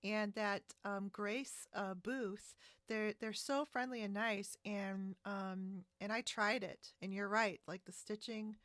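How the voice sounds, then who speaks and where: An American woman chatting casually as a podcast host, with a halting, wandering delivery. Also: medium pitch, steady tone, a bit bright, no echo, studio quality. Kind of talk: casual interview chat about a hobby. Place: remote split-screen video call.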